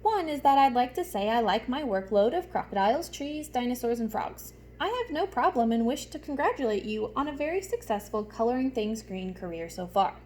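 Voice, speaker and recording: A woman's voice: fairly high pitch, animated, brisk, few pauses, darkish tone, slight background noise, natural voice. Woman voicing a polite, lilting green crayon. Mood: cheerful, polite.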